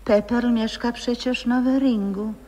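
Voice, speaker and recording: Slow and husky, a frail old grandmother who once sang on stage drifts between whispers and wistful memory. Her speech is dreamy and unhurried. The old radio recording carries a long, hall-like reverb. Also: on the high side, steady tone, dark tone. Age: older. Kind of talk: 1960s radio play acting.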